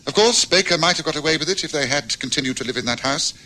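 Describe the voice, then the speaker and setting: A man playing an astute detective in a radio drama, speaking in a measured, reflective, precise manner. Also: on the high side, expressive, sharp tone, slight background noise. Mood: reflective, regretful. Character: astute, composed male detective summing up a case. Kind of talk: old-time radio drama acting.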